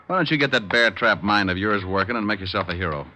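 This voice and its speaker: An actor playing a wry, cool-headed private detective in a 1940s radio drama, with a dry, sardonic delivery. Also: male voice, fairly low pitch, animated, fast talker, music in the background, lightly acted. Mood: wry, assured. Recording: muffled, hissy 1940s radio recording.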